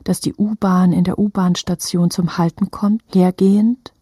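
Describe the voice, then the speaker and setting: Casting: suspenseful female narrator for literary radio drama, breathy and mellow, deliberate delivery, close dry studio sound. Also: lowish voice, fairly even intonation, dry recording. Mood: watchful. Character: intense female narrator shadowing a hunted woman's every step.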